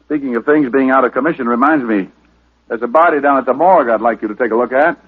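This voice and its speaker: Sardonic gumshoe, played by a man, mid-pitched and unflappable, vintage radio drama with very narrow band. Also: fast talker, slightly rough, close to an everyday voice. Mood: casual. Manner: dry, sardonic. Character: wisecracking hard-boiled private detective, sardonic and unflappable. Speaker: lead detective and narrator. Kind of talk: 1940s-50s radio detective acting. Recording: old radio broadcast, very narrow muffled band.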